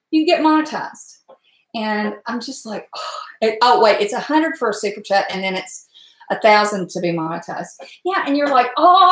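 A chatty woman in her forties with a Blue Ridge Virginia drawl, rambling warmly to her live-stream viewers as she stirs a pot. Her voice sits a little high, and the kitchen around her rings with echo. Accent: Appalachian Virginia. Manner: folksy, rambling, talks to chat viewers. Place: home kitchen at night. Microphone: webcam at stove. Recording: echoey kitchen.

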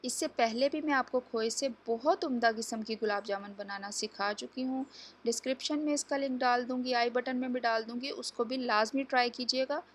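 A woman hosting a home cooking tutorial, explaining each step to viewers in a chatty, instructive way. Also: a little high. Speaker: cooking channel host. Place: home kitchen.

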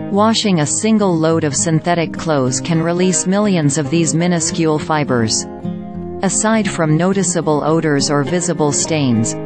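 A woman narrating a scripted news explainer in an even, measured read. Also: deep voice. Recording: voice-over with loud music bed.

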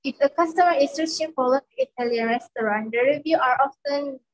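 A woman's voice: high-pitched, brisk, rough recording. A young woman with a Malaysian accent reading a prepared panel answer over a video call, steadily listing points in order. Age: young adult. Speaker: student panelist. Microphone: laptop microphone on video call.

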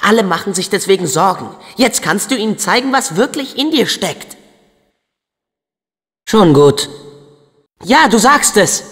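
An eager brave teen boy game hero, really animated, unhurried, big long reverb on it. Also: high-pitched, slightly rough, full character voice. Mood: encouraging. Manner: eager, expressive, youthful delivery. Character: upbeat, brave, earnest teenage boy hero. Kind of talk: German video game dub acting.

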